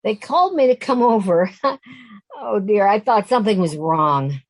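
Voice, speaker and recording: A woman acting a grieving, emotional woman in her late fifties in a play reading, speaking in a halting, heartfelt way. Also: mid-range voice, expressive, natural voice. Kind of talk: play reading, naturalistic dialogue.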